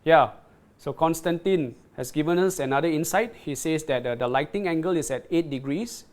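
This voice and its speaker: A man's voice: a little high, a little mellow. A man presenting a product on a livestream, demonstrating it and reading viewer comments, often repeating words and checking in with "right?".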